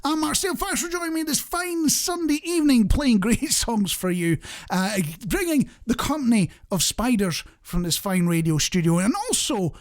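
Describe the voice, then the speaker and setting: A man's voice: very high voice, animated, normal speed. A man hosting a light-hearted radio show, chatting in a jokey, self-deprecating way straight to the listener. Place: radio studio.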